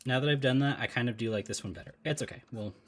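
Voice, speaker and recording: A man talking casually on a live stream while he draws, thinking out loud, laughing often and chatting with viewers. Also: mid-range voice, racing.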